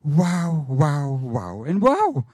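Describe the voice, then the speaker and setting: A man who is not a native English speaker, preaching informally in short, halting phrases with pauses for an interpreter. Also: fairly high pitch, wildly swooping pitch, darkish tone, breathy edge, dry recording. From Netherlands or Denmark. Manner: halting, repetitive, informal storytelling. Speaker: guest preacher.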